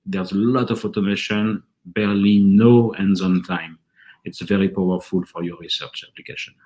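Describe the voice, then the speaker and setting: A man with a low voice and a French accent presents at a conference. He speaks in an earnest, measured way and sometimes restarts a phrase. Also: steady tone, a little mellow, rough recording. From France. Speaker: company presenter.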